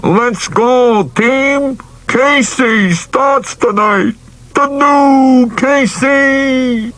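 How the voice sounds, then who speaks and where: A man reading an audiobook, voicing an excitable baseball manager who shouts drawn-out rallying calls. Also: very high voice, pitched above the performer's own voice, heavily acted. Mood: rousing. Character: excitable male baseball manager bellowing at his team.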